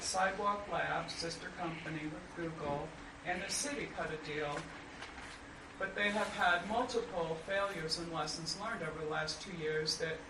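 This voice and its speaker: A panelist speaking in a measured, deliberate way at a roundtable discussion. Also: female voice, low voice. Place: conference room. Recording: echoey room, distant mic, loud background noise.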